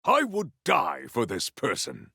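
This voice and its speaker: A man voicing a grandiose, battle-hungry warrior in a video game, with a rough, gravelly voice and bombastic, theatrical delivery. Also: mid-range voice, huge pitch swings, a little slow, bright, forceful tone, dry recording, raised voice, clean studio sound, heavily acted. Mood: solemn, restrained. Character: grandiose, battle-hungry male warrior with fire and ice powers. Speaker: playable game character. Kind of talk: video game combat barks.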